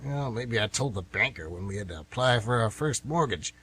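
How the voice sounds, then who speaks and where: A man's voice: medium pitch, animated, a little fast, a bit bright, slightly rough. A man playing a folksy, nostalgic older baseball fan who rambles emphatically in an amateur radio play. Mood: grudging.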